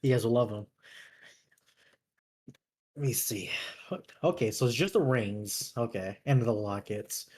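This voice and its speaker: Laid-back man chatting, mid-pitched voice. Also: measured pace, pauses often, a little mellow. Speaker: player creating a tabletop game character. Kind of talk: casual chat.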